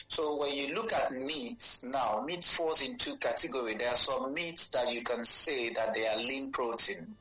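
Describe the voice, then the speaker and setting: A man's voice: mid-range voice, bright, forceful tone. A man with a Nigerian accent explaining health advice as a dietitian in an interview, teaching step by step and checking that he is followed. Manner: didactic, checks understanding with "okay" and "you know". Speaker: dietitian guest. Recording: roomy, echoey sound.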